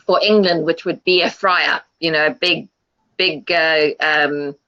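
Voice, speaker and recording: A woman from South Africa, a guest in a casual online panel chat, speaking hesitantly with many pauses and fillers. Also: deep voice, steady tone, sharp tone. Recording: dull lo-fi web call audio.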